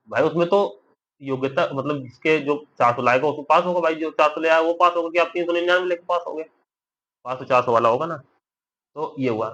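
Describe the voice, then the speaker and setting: A man's voice: fairly high pitch, fairly bright tone. A young man giving informal commentary straight to his audience, repeating points and often checking that listeners follow. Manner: repetitive, with frequent check-in tag questions. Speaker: exam-guidance video creator. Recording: dull lo-fi audio.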